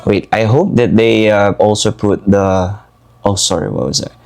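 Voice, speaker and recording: A man's voice: lowish voice, moderate pace, a little mellow. A young man from the Philippines hosting a casual music video reaction, chatty and rambling with lots of fillers and restarts. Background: music playing.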